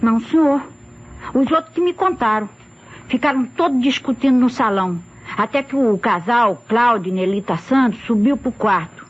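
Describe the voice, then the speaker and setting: A woman's voice: fairly high pitch, breathy edge. A woman with a Brazilian accent playing a simple, talkative, respectful housemaid in an old radio drama, speaking colloquially on a muffled, noisy recording. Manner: deferential, colloquial storytelling.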